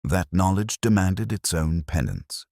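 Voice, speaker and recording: A man voicing a weary middle-aged Oxford detective, narrating in first person in a measured, reflective way. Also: deep voice, a little mellow, dry recording, studio quality. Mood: brooding. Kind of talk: scripted audio drama narration.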